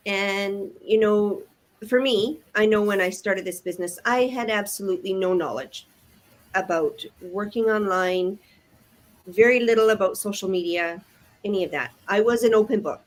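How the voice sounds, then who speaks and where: A woman's voice: medium pitch, normal speed, low-quality recording. Earnest older woman guest. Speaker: panel guest. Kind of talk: live online business chat.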